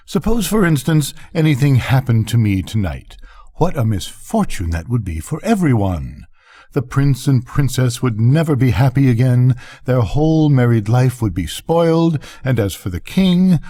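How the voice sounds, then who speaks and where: A man's voice: medium pitch, warm tone, breathy edge. An older man voicing a vain, self-pitying firework rocket in a bedtime story, pompous, indignant and declamatory. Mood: self-important.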